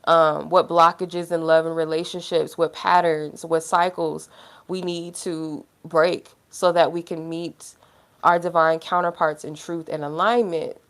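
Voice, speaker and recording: A woman's voice: low-pitched, level tone, a little slow. A woman with a stuffy nose, feeling unwell, chatting casually as a tarot reader on a livestream, rambling with lots of filler words. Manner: rambling, heavy use of "like" fillers.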